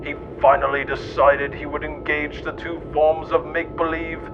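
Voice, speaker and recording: A man in his thirties giving a scripted, mock-solemn storybook narration in a deadpan, grandiose way. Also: normal speed. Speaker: host reading comic mock-history. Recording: dull, noisy lo-fi track with rumble.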